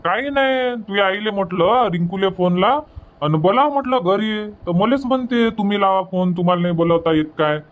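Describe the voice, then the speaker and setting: A man's voice: sits high, fairly quick, close to an everyday voice. Older farmer father played by a man for cartoon comedy: practical, fond, colloquial, rural Vidarbha Marathi accent. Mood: fond, casual.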